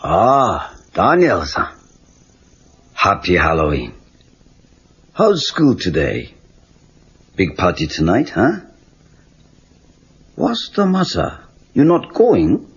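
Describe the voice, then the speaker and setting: A man's voice: low-pitched, huge pitch swings, takes it slowly, long pauses, breathy edge, exaggerated voice. An actor playing a wise, terse old Okinawan handyman with a Japanese accent and clipped broken English in an audio story. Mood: friendly, probing. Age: older. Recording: dull cassette tape with music.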